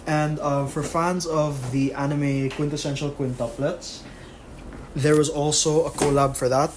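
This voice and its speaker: A man's voice: on the high side, steady tone, measured pace, slight background noise. A man co-hosting a podcast in casual chat, rambling and full of fillers. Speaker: podcast co-host.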